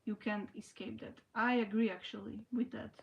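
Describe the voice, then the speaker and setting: A young woman from Slovakia, chatting casually on a livestream in halting sentences full of restarts and "you know". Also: medium pitch, steady tone, gravelly, highs cut off. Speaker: livestream host. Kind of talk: casual livestream chat answering viewers.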